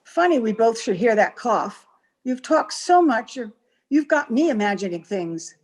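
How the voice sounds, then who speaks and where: A woman's voice: fairly high pitch, very animated, a little slow. An actress playing a domineering, hard-headed, scornful woman, bossy and impatient, in the style of a 1930s radio horror play. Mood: wary, dismissive. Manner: bossy, sharp-tongued, impatient.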